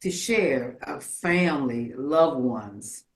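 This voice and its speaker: A woman's voice: very deep voice, expressive, slow. An older woman chatting casually in a group about taking part in a community project, effusive and given to repeating herself. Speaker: participant in a community storytelling theatre group. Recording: roomy echo.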